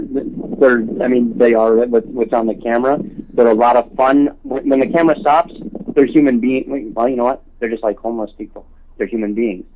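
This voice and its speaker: A man speaking as a guest in a telephone interview, earnest and halting, often repeating words as he makes his case. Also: mid-range voice, a little mellow, rough recording. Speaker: interview guest, homelessness awareness activist. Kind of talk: telephone interview, spontaneous advocacy talk. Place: on a telephone call.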